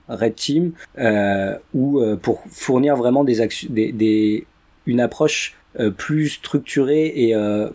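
A man in his thirties, a podcast guest, talking casually with many pauses and restarts. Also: medium pitch, warm tone. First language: French. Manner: hesitant, many fillers and restarts. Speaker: podcast guest, cybersecurity director.

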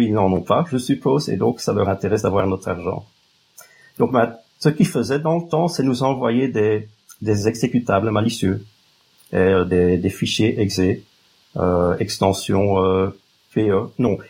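Husky low-voiced man with a Flemish accent. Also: darkish tone. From Flanders, Belgium. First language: Dutch. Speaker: guest security expert. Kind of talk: explanatory interview talk.

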